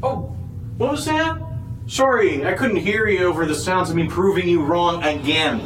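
Cocky, wry young man, male actor, sarcastic audio drama banter, roomy echo, noticeable background rumble. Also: expressive, moderate pace, a bit bright. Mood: smug. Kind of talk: naturalistic audio drama acting.

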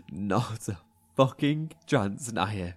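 Husky, defiant survivor. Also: mid-range voice, heavily acted. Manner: sceptical, bitter, mocking. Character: defiant, sardonic survivor challenging a cult leader. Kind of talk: horror audio drama acting.

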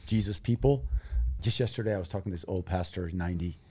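A man's voice: low voice, fast, darkish tone, husky. A man who grew up in Thailand, a guest speaking with intense emotion about war experiences. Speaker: guest, humanitarian group founder. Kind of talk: conversational interview talk.

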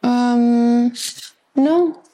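A woman's voice: a little high, drawn-out words, mellow, soft background music. A Lebanese woman who grew up in Saudi Arabia, giving casual, candid and reflective answers as an interview guest, repeating phrases for emphasis. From Saudi Arabia, in a Lebanese family. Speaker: podcast guest, cookbook writer.

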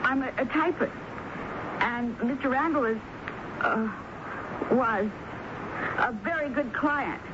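A nervous, self-effacing typist in an old radio drama. She speaks in halting, guarded phrases, repeating words timidly. The broadcast recording is muffled with clear noise. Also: sits high. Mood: nervous, modest. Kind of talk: 1970s radio drama acting.

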